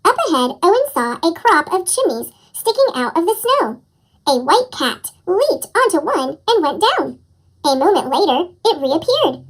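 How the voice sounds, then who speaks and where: A narrator reading a children's picture book aloud in an animated way, with a squeaky, childlike chipmunk voice effect. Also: low-quality recording. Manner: animated storytelling. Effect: chipmunk voice pitch-up effect. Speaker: storybook narrator.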